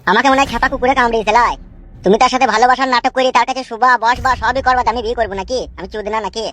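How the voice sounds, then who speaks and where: A man's voice: extremely high pitch, fairly even intonation, very fast, a bit bright. A man voicing a brash, sly young village friend in a cartoon, taunting in coarse slang. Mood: mocking. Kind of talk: cartoon comedy voice acting.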